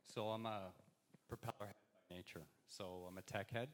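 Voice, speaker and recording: Low-voiced man on a panel. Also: steady tone, normal speed. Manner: hesitant, many ums and restarts. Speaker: industry panelist. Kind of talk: panel discussion answers. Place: university event room. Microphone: handheld mic, passed around.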